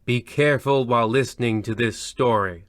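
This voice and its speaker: An actor playing a pompous, petty host of an old-time radio horror parody who rambles off into digressions. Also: male voice, medium pitch, normal speed, no echo, lightly acted. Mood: mock-solemn. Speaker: narrator and host. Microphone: close studio microphone in recording booth.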